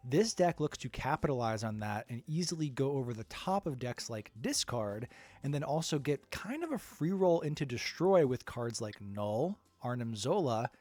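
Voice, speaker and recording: A man's voice: mid-range voice, darkish tone, no room sound. A man with a nasal voice, stuffy from a cold, giving an upbeat, chatty card game strategy guide with plenty of fillers. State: under the weather, stuffy. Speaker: card game content creator.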